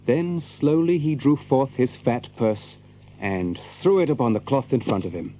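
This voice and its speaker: An actor as the narrator of an old English legend, reading dramatic storybook prose in an archaic style. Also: male voice, mid-range voice, expressive, a little slow, warm tone, close to an everyday voice, rough recording. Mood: measured. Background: music.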